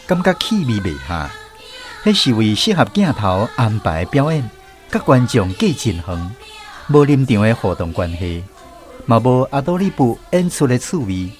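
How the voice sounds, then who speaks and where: A Taiwanese man tells a scripted story as a radio host. His medium-pitched voice moves through lively rises and falls, with clear instrumental music playing behind him. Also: moderate pace.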